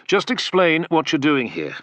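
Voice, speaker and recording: A man voices a young, impatient commander who snaps his questions. His tone is bright with a lively rise and fall. The recording is dry. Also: a little high, unhurried, no room sound, voice pushed up, heavily acted. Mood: curt. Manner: curt, commanding.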